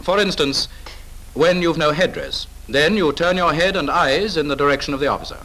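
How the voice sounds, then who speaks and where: A man's voice: on the high side, normal speed, bright, forceful tone, low-quality recording. A British man narrating a 1940s instructional film in a clipped, authoritative, instructive manner, on an old film soundtrack.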